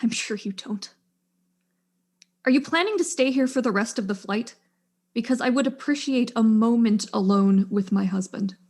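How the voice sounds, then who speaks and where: A woman's voice: on the high side, normal speed, a little mellow. A woman playing a proud, weary widowed aerospace engineer, speaking with dry, exasperated formality in a stage-style mystery drama. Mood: icy irritation.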